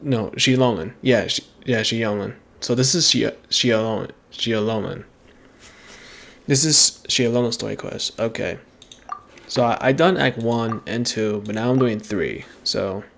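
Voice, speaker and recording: A man giving casual, halting gameplay commentary, muttering and often repeating himself. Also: mid-range voice, gravelly, a bit bright. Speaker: gaming streamer.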